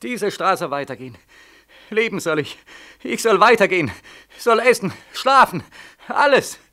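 A despairing, haunted young veteran in a 1940s radio play. The voice sits a little high and is tense and sharp. The delivery is anguished and halting, moving between hushed dread and frantic pleading. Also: male voice, expressive, full character voice. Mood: desperate, agitated.

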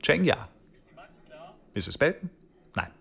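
An actor playing a sardonic chief police detective in a radio crime drama, talking in a wordy, needling, ironic way. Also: male voice, fairly high pitch, very animated, slow, gravelly, fairly bright tone, exaggerated voice. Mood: brisk command. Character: sardonic male chief police detective, talkative under threat.

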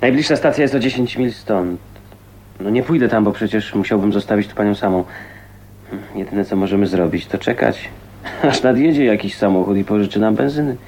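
An actor playing a gruff, chivalrous maverick in a radio drama, talking in a blunt, wry, colloquial way. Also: male voice, lowish voice, brisk, a little mellow, a little hiss or hum, natural voice, poor audio. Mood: annoyed. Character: gruff, casual, chivalrous maverick man, unbothered by reputation.

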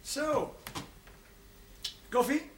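A man playing a kindly character who gently coaxes and indulges others in a 1970s television drama. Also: very slow. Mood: gently curious. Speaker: actor. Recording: noisy television soundtrack with clicks.

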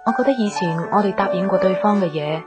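A woman's voice: medium pitch, quick pace, lightly acted. An actress playing a reflective, disappointed young woman in a Cantonese radio drama monologue, with a Hong Kong accent. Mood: wistful, disappointed. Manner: reflective, wistful. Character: young woman back in Hong Kong, hurt, disillusioned in love. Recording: music loud behind the voice. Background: plucked string music.

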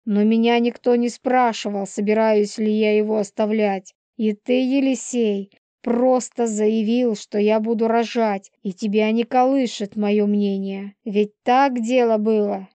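A woman's voice: a little high, steady tone, a little slow, mellow, dry recording, natural voice. An actress playing a manipulative pregnant young werewolf woman in an audiobook, spiteful, bitter, taunting and theatrical. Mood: bitter, defiant. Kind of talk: dramatised audiobook dialogue.

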